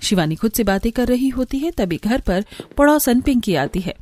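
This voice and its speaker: A woman's voice: on the high side, expressive, normal speed, a little mellow. A woman reading scripted narration for a cartoon story.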